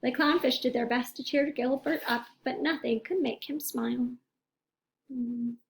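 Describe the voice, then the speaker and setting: A woman reads aloud to children in a high voice with plenty of fry. She is expressive, like a teacher, and speaks straight to her young listeners. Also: a little slow, a little hiss or hum. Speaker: teacher reading a picture book aloud.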